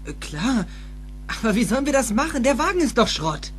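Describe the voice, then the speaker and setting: An actor playing a bewildered, excitable teenage boy in a film audio drama, hesitant and incredulous. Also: very high-pitched, fairly quick, slight background noise, full character voice. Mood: stunned. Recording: loud music under dialogue.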